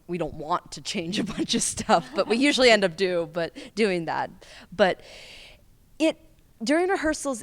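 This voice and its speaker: A young woman, a student actor, chatting casually and animatedly in an interview. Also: fairly low pitch. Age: young adult.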